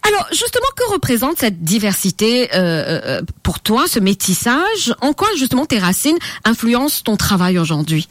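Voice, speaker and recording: A woman's voice: fairly high pitch, big pitch swings, moderate pace, few pauses, dry recording. A woman hosting a radio health show, asking her guest questions one after another. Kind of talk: radio interview. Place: radio studio.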